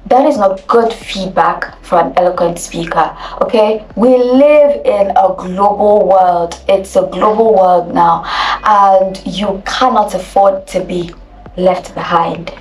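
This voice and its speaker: A Nigerian woman with a Nigerian accent, teaching as an elocution coach, speaking deliberately and repeating key phrases for emphasis. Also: mid-range voice, roomy sound, recorded from a distance, slight background noise, rough recording. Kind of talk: instructional video talk.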